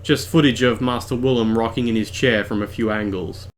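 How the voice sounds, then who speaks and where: Male video essayist, calm scripted narration, explains clearly, medium pitch. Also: brisk. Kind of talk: scripted game commentary voice-over.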